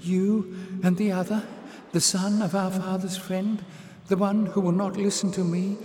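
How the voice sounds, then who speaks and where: A man voicing a timid young slave girl with a soft, breathy, sighing voice. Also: lowish voice, fairly even intonation, normal speed, reverberant, in a large hall, a crowd in the background, pitched above the performer's own voice, lightly acted. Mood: eager rushing.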